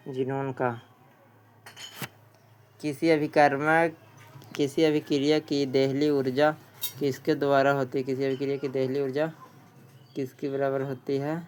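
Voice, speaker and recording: A male teacher works through exam practice questions and their answers, often saying a question twice. His delivery is slow and quite monotone, at a middling pitch. Some noise sits behind the voice. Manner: repeats questions before answering. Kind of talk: exam quiz lesson, reading questions and answers.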